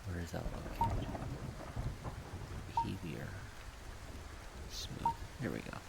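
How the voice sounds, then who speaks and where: Male, deep and unhurried, soft-spoken casual ASMR rambler, rain-like noise behind the voice. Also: vocal fry. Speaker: ASMR creator rambling about a project. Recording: steady rain-like background noise.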